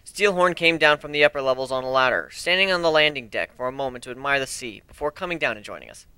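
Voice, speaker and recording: Bright-voiced man reading a story aloud. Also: medium pitch, fast talker, clean tone, no room sound. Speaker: amateur story reader. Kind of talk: dramatic reading of first-person fan fiction.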